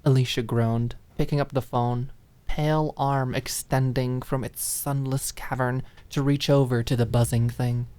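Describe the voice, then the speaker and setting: A woman's voice: extremely low pitch, steady tone, a little slow, dark tone. A woman reading scripted dramatic narration as an audiobook narrator.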